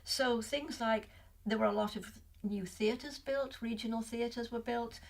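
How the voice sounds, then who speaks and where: Older woman, Liverpool accent, reflective and chatty, higher voice. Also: steady tone, unhurried, creaky. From Liverpool, England. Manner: reflective, chatty, many restarts. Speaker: guest. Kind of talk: casual interview.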